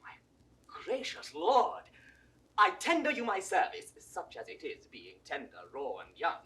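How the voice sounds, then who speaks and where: A man's voice: high-pitched, wildly swooping pitch, unhurried, sharp tone, full character voice. An actor playing an eager, respectful young nobleman in a Shakespearean audio drama, formal and deferential with a British accent. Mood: respectful, humble. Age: young adult. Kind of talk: classical Shakespearean stage acting.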